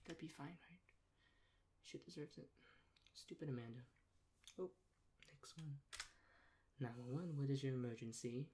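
A young man with a mid-pitched voice plays a rude dispatcher in an ASMR role play. He is soft-spoken, sarcastic and dismissive, with a deadpan delivery. The recording has clear background noise and keyboard clicks. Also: moderate pace, long pauses. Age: young adult. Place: small plain room indoors.

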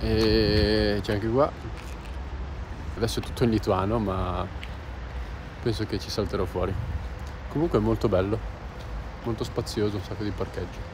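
A man's voice: fairly low pitch, fairly even intonation, moderate pace, long pauses, noisy background. A young man in his twenties talking casually into a phone held at arm's length outdoors by a street.

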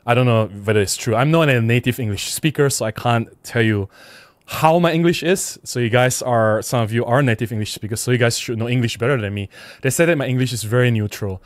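A middle-aged man with a Malaysian accent hosts a casual livestream at a close desk microphone. His voice sits at a medium pitch, and the sound is dry with very little room. He talks conversationally, with emphasis, as he reads comments and responds to them. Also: a little fast, no room sound. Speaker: livestream host. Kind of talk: casual livestream chat, reading out viewer comments and replying. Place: small indoor room at a desk. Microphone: close desk microphone with windscreen.

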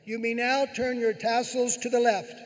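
A middle-aged man with a strikingly high voice speaks formally as a university president. He is at a podium in a large arena, with long reverb and some faint ambient noise. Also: flat delivery, normal speed. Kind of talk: formal ceremonial address. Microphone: podium microphone. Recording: echoey arena, noisy ambience.

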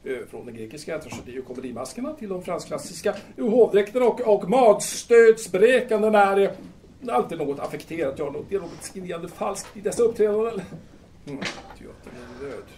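A high, wildly swinging voice acted by a man gives us a drunken bohemian playwright, grandiose and irritable. He declaims in halting bursts for an audio drama, heard from a distance over faint noise. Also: sing-song, a little mellow. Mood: scornful ranting. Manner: declamatory, halting, emphatic repetitions. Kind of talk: theatrical audio drama acting in Swedish.

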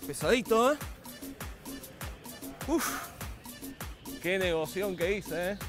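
A young man with an Argentine accent in a sketch comedy, talking in a dry, ironic deadpan. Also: sits high, slow pace, over music. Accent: Argentine Spanish.

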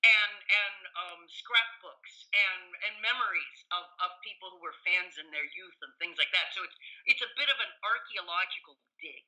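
A woman's voice: fairly low pitch, moderate pace, few pauses, sharp tone, poor audio. An older woman speaking as a guest professor in a podcast interview, enthusiastic and scholarly, often hesitating with ums.

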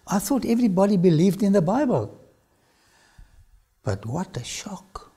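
An older man with an Afrikaans accent, a lecturer telling his own story to camera in a studio, swinging from loud phrases to whispers. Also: high voice, very animated, unhurried, breathy edge. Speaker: archaeology lecturer. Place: studio with dark backdrop.

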